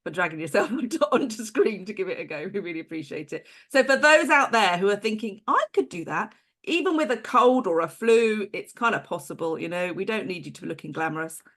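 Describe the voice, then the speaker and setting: A woman hosting a live session over a video call, with a middle-pitched voice. She speaks in an encouraging way and laughs easily. She runs through her points in a plain, explaining manner. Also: expressive, fairly quick, fairly bright tone. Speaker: host.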